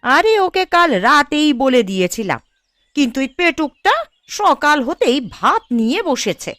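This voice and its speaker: Shrill, scolding cartoon aunt. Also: female voice, sing-song, normal speed, no echo, studio quality. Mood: scornful, scheming. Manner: sharp-tongued, sarcastic, bossy. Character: scheming, scolding middle-aged village aunt, doting mother. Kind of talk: Bengali cartoon voice acting.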